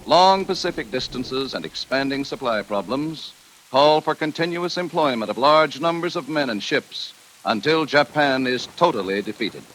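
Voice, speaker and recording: Casting: male wartime-era radio announcer, mid-pitched, formal emphatic bulletin reading, faintly noisy vintage sound. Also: expressive, moderate pace, a bit bright. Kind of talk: 1940s scripted public service announcement.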